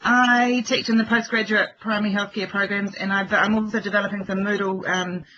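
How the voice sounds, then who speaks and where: A New man gives an easygoing, friendly introduction on a web call. His voice is high and bright for a man. The call audio is muffled, with faint noise behind it. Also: little pitch movement, brisk. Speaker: health lecturer.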